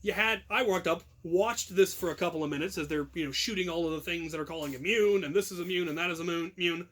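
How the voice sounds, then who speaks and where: A man with a noticeably high voice tells a long story in a loose, conversational way. He wanders with ums, restarts and small chuckles at his own tale. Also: fast, a bit bright. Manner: rambling, self-amused, frequent ums and restarts. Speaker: channel host telling a story. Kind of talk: casual storytelling.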